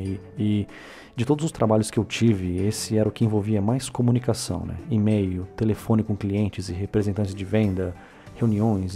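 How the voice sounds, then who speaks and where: A Brazilian man in his thirties, telling his story in a relaxed, casual way with many filler tags and small self-corrections. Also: fairly low pitch. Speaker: vlogger. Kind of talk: casual personal narration to camera.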